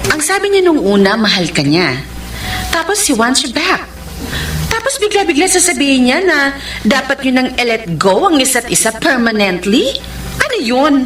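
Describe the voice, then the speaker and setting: Indignant female friend, high swooping voice, blunt, exasperated, radio love drama, roomy. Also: very animated, moderate pace. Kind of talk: radio romance drama acting. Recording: roomy, distant voice over music.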